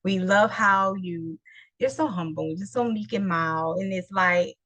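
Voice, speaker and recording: A woman with a New Orleans accent hosts a relaxed interview chat. She talks in an animated, playful way, full of laughter and slang. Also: on the low side, a little slow, no room sound. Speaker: host.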